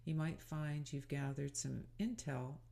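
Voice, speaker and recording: Gravelly, mellow woman guiding meditation. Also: deep voice. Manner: calm, soothing, unhurried, inviting. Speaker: meditation guide. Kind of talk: gentle guided meditation narration.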